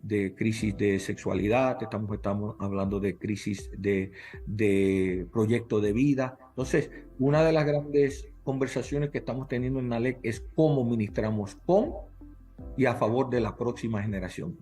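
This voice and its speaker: A man's voice: on the low side, fairly even intonation, moderate pace, mellow, faint music behind. A middle-aged man, a native English speaker talking in Spanish, giving earnest, emphatic interview answers that repeat phrases as he lists points.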